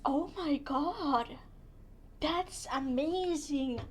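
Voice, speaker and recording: High-voiced woman streaming a game. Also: very slow, slight background noise. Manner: sweary, muttering asides. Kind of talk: casual gameplay reaction commentary. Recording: loud game music behind.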